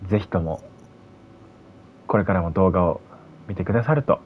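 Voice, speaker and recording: A man's voice: low voice, fast talker, a little hiss or hum. A man hosting a video channel, giving casual commentary to viewers on a dull recording.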